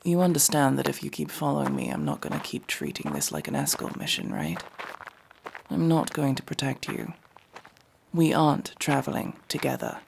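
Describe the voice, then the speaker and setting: An aloof tsundere elf , acted by a man, with a heavily creaky, fry-laden voice that swings expressively in pitch. He is curt and sardonic, a reluctant rescuer in a fantasy audio roleplay. There is a faint noise behind him. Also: very animated. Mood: curt, dismissive. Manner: curt, sardonic, exasperated, with sighs and pauses. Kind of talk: ASMR fantasy roleplay, acted monologue to listener.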